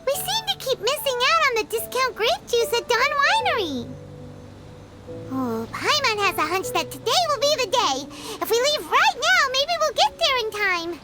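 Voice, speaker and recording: A woman voicing a tiny, excitable, childlike fairy companion with a squeaky voice in an anime video game. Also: moderate pace, over loud music. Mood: eager, chirpy. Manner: exclamatory, talks of herself in third person.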